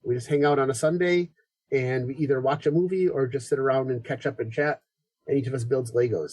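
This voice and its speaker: A man's voice: medium pitch, a little mellow, slightly rough, no echo, rough recording. A man from Buffalo, New York, co-hosting a podcast in casual chat, his voice stuffed up and nasal from a cold. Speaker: podcast co-host.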